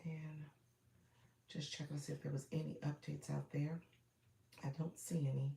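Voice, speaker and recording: A woman coaching viewers on live streaming in a casual, chatty way, congested from a cold with a froggy voice, often clearing her throat and using fillers. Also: sits low, flat delivery, normal speed, mellow. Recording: roomy echo.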